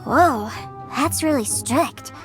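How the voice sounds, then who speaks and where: An actress voicing a tiny, childlike fairy companion in a video game, innocent and exclamatory. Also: female voice, high-pitched, expressive, slow pace. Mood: surprised, concerned. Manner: naive, exclamatory. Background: game music.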